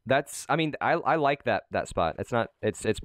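A man's voice: mid-range voice, animated, normal speed, slight background noise. A young man hosting a gaming channel, chatting casually and rambling while he plays with friends, often laughing. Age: young adult. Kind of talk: casual gameplay commentary.

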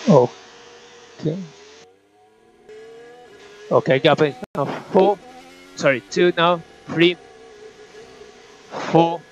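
A man's voice: a little high, extremely slow, pauses often, soft background music. A man working as a race engineer, giving clipped instructions and countdowns to a driver over team radio. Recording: dull noisy motorsport radio link.

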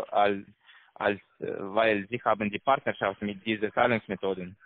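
A man with a foreign accent, not a native German speaker, giving a halting, repetitive telephone sales pitch as a call center salesman. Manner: halting, repetitive, broken grammar. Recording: tinny narrow phone line.

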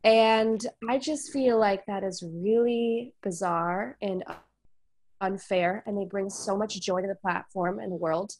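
A woman's voice: mid-range voice, measured pace, darkish tone. A woman musician with a cold, sounding congested, chatting casually on an online call with many fillers. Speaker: musician guest. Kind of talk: casual discussion.

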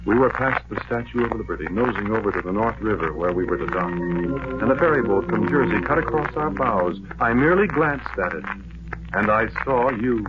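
Casting: male actor for weary, lovesick ex-soldier, low voice, poetic brooding monologue, heavily hissy vintage radio drama recording. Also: expressive, lightly acted. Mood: yearning. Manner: brooding, poetic, measured delivery. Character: lovelorn, weary male war veteran narrating his lifelong search. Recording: noisy, dull 1940s radio recording.

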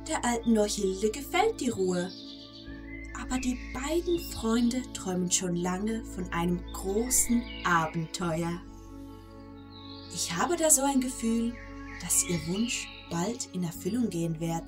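Slow-paced woman narrating a fairy tale. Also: medium pitch, lively intonation. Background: prominent background music.

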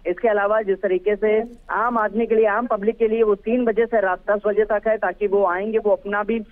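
A man's voice: sits high, level tone, moderate pace. Man reporting over a tinny phone line. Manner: hesitant, many fillers and restarts. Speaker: field reporter. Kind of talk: live news report by phone.

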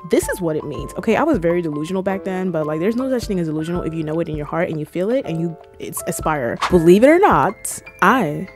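Playful young woman, lively low voice. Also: quick pace, hardly any pauses, soft background music. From Cameroon. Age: 20s. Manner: playful, animated, jokey with squealed asides. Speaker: YouTuber. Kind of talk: casual chat to camera. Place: small room with red lighting, indoors. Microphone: handheld mic close to the mouth.

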